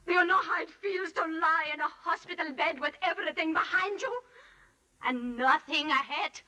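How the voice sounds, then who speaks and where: Vengeful prima ballerina, 1940s radio drama; high, sharp voice, controlled and precise, slightly off-mic. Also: female voice, normal speed. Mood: bitter, vengeful. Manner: clipped, controlled, turning icy and intense. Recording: distant-miked 1940s radio broadcast.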